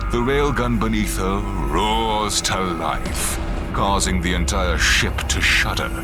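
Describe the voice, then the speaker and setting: A man with a very deep voice narrates a science fiction audio drama. His scripted delivery is theatrical and animated, building suspense with pauses over clearly audible music.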